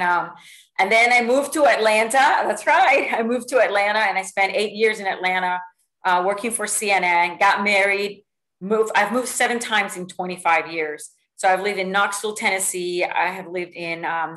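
Animated woman on a video call. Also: mid-range voice, normal speed. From Puerto Rico. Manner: lively, animated storytelling with many fillers. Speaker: sales executive speaking to a team. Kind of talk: motivational talk on a video call. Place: home room with bookshelves. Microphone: video call webcam.

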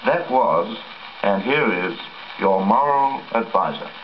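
A man's voice: on the low side, animated, slow. A man giving a dry, formal introduction in an old-time record style. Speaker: announcer and accompanist. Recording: dull crackly old 78 record.

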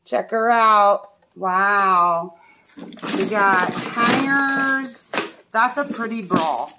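A woman chatting excitedly as she unboxes items in a storage unit, laughing often. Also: medium pitch, extremely slow. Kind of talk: casual chat while unboxing. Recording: echoey and noisy storage unit.

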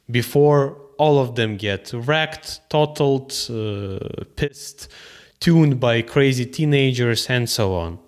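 A young man from Lithuania with a Lithuanian accent, chatting eagerly about cars to camera from his bedroom, in slightly broken English. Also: mid-range voice. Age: young adult. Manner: animated, gestures a lot, slightly broken English. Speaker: car vlogger. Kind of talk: casual enthusiastic chat to camera. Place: bedroom, indoors. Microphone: studio mic on a stand.

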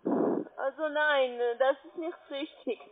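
Female call centre agent, non-native German with foreign accent, high and slow, instructing insistently over telephone. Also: steady tone, a crowd in the background. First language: not German. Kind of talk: phone instructions, spelling out letters. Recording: narrowband phone line.

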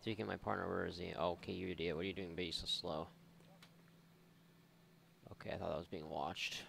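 Low, creaky-voiced sarcastic man. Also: level tone, brisk, fairly bright tone. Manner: sarcastic, sweary, mock exasperated. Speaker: video game let's-player. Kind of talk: casual game commentary. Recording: faint game noise behind voice.

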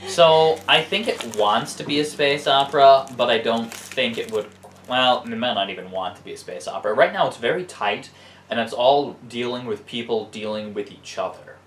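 A man's voice: medium pitch, normal speed, fairly bright tone. Echoey American man, thinking aloud. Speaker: co-host giving opinions. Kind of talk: casual discussion. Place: living room couch, indoors. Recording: roomy echo, faint background noise.

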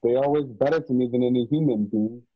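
An actor playing a plainspoken, world-weary cowboy companion in a Western radio drama, with folksy rustic speech. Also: male voice, mid-range voice, fast talker, highs cut off, lightly acted. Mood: weary, musing.

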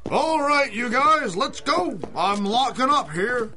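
An actor playing a bossy working-man foreman, briskly chivvying his crew in a 1930s-style radio adventure. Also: extremely high pitch, lively intonation, pushed and bright, soft background music, full character voice. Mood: impatient, bossy.

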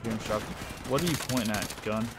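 This is a man with a fairly low voice, commenting casually over a game. He talks in slang and grumbles with exasperation. Shooter gunfire is clearly audible behind him. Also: fairly quick, heavy background noise. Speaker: video game player and commentator. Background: shooter game gunfire and military chatter.

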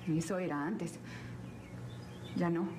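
A woman's voice: on the low side, fairly even intonation, slow, noisy background. An actress playing a proud, wounded young country woman in a telenovela, blunt and defensive. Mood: hurt, defiant. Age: young adult. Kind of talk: 1970s telenovela acting. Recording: old TV audio under loud music.

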